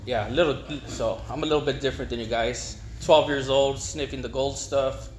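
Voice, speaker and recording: A middle-aged man gives an informal personal testimony, rambling and haltingly repeating words. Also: medium pitch, fairly even intonation. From East Los Angeles, United States. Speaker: speaker at a recovery meeting. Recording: echoey room with faint noise.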